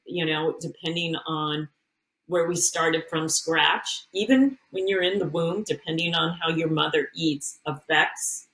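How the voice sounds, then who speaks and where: Earnest lower-voiced woman giving health advice. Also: steady tone, a little slow, fairly bright tone, low-quality recording. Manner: earnest, emphatic advice with frequent restarts. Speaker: health and nutrition coach, guest. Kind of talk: casual interview talk.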